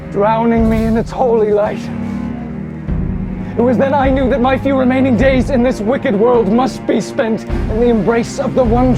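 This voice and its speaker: Tormented, high-voiced Victorian killer. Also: male voice. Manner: declamatory, formal, deliberate. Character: tormented male Victorian serial killer, grandiose, feverishly pious. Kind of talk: theatrical stage monologue. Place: theater stage before an audience.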